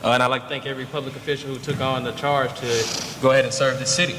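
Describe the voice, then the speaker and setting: Brief: young male candidate, rapid and pressed, roomy echo, faint hall noise. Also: mid-range voice, little pitch movement. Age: young adult. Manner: rapid, listing policy points. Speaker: mayoral candidate. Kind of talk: campaign speech at a panel. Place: large indoor event hall.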